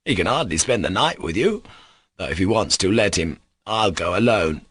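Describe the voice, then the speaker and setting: A man's voice: medium pitch, moderate pace, pushed and bright, no echo, voice pushed up. A man reading a novel aloud, voicing a spiteful young man with a venomous, jeering tone. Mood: scornful.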